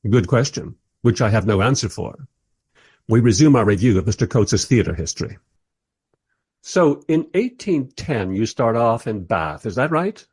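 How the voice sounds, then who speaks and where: An actor playing a mild, polite time-travelling interviewer who narrates his story wryly in a radio play, with a husky voice. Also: medium pitch, animated, moderate pace, a little mellow. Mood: curious. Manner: wry, polite. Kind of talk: first-person radio play narration and dialogue.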